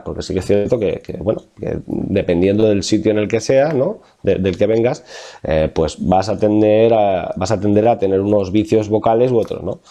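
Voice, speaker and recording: Low-pitched, mellow-voiced Spanish vocal coach. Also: male voice. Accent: Castilian Spanish from La Mancha. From Spain, Castilla-La Mancha. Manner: thoughtful, many fillers and restarts, laughs easily. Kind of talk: interview answers, explaining vocal exercises.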